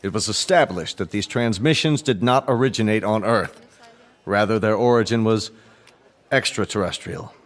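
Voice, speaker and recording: An actor playing a formal, grave Secretary General addressing an assembly in measured, oratorical radio drama style. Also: male voice, fairly low pitch, close to an everyday voice. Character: formal UN Secretary General making grave announcement, growing flustered.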